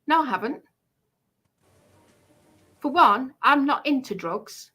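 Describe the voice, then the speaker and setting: A woman's voice: high voice, lively intonation, takes it slowly, bright, forceful tone, breathy edge. A woman giving a heated personal account on a livestream, speaking in an indignant, emphatic way and repeating herself for stress.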